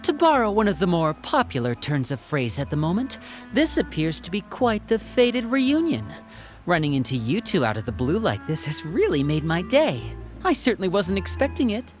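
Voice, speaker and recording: A woman's voice: lowish voice, big pitch swings, moderate pace. A courteous young male magician from a video game. The delivery is charming, theatrical and very melodic. Faint music plays underneath. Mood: friendly. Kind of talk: video game character dialogue.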